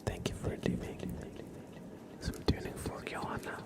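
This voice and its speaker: A man hosting an ASMR stream, speaking softly and often in a whisper, gently and casually as if chatting with viewers. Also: measured pace. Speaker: ASMR livestream host. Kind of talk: ASMR, soft-spoken and whispered.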